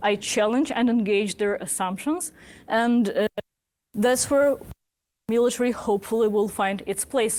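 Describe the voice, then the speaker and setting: A woman's voice: on the high side, a little mellow. A woman from Ukraine with a Ukrainian accent, an academic researcher presenting her work and answering questions in a structured, articulate way.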